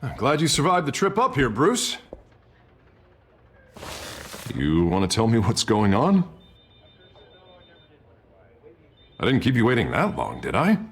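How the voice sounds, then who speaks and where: A man's voice: mid-range voice, huge pitch swings, brisk, a little hiss or hum. An actor playing a troubled young male mayor in a video game, swinging between friendly banter and tense, agitated talk. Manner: shifts from friendly to agitated muttering. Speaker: game character.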